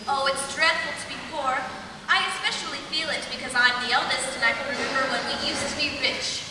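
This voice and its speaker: A woman's voice: sits high, fairly even intonation, brisk, a crowd in the background, close to an everyday voice. A woman playing a prim, genteel young sister in an old-time radio drama, with an affected, theatrical manner. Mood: complaining. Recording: very echoey hall, distant mic.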